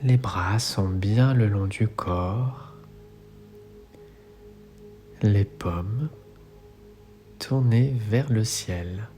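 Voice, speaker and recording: A man with a low, mellow voice guides a relaxation meditation. He speaks very slowly, in soothing, measured instructions. Soft music plays faintly behind him.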